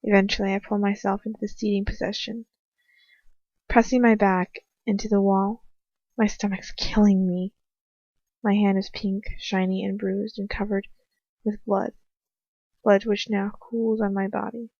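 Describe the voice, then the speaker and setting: A woman's voice: medium pitch, flat delivery, moderate pace, pauses often, darkish tone. A woman giving a dramatic first-person reading of fan fiction, as an amateur audiobook narrator. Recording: muffled roomy home recording.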